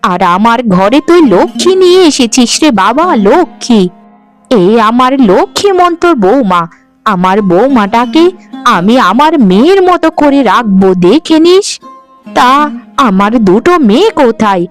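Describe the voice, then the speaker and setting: A doting older mother-in-law in a fairy-tale cartoon, voiced by a woman. She talks in an affectionate, effusive way, pitched high for her years. Faint music plays behind her. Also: very animated, moderate pace. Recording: background music under the voice.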